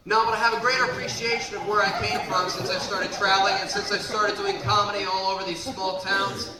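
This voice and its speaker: A man's voice: high voice, little pitch movement, fairly quick, over a noisy crowd. Brash young stand-up man in a roomy club. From Baltimore area, Maryland. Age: 20s. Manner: punchy, brash club delivery, sometimes shouting. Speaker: stand-up comedian. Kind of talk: stand-up set. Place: comedy club stage with a live audience. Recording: roomy, distant, noisy club recording.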